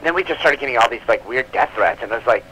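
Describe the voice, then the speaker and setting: A man's voice: medium pitch, expressive, quick pace, sharp tone, slight background noise, rough recording. A man speaking as an interview guest in a casual, rambling and giggly way. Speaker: comic book publisher, interview guest.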